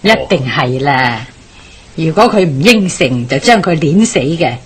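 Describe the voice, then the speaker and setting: Radio drama mother: middle-aged, shrewd, politely coaxing, slightly low and rough-edged, Hong Kong Cantonese. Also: animated, a little slow, exaggerated voice. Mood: knowing.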